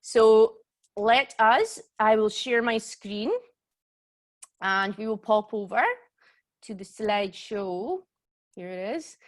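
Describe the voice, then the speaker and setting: A woman's voice: mid-range voice, takes it slowly, pauses often. A woman in her forties with a Glaswegian accent, hosting a live online yoga workshop in a chatty way that keeps checking in with her listeners. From Scotland. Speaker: yoga teacher and workshop host. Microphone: computer mic on a video call.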